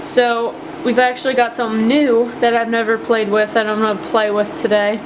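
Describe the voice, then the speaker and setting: A woman's voice: a little high, level tone, normal speed. Upbeat woman, air-conditioner hum. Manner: chatty, upbeat, filler-heavy. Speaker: bait maker showing her process. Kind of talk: casual hands-on tutorial. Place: home workshop bench.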